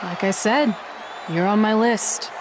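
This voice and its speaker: A woman's voice: medium pitch, unhurried, crowd noise, lightly acted. An actress playing a driven, assertive young female indie wrestler in a video game cutscene. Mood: bold, challenging. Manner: assertive, challenging. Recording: clearly noisy ambience.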